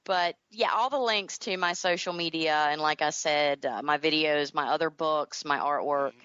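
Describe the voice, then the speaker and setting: A woman's voice: low voice, normal speed, a bit bright, clear, resonant tone, no echo, highs cut off. A chatty woman, talking casually as a guest in an interview. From Southern United States. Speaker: podcast guest, author and audio drama creator.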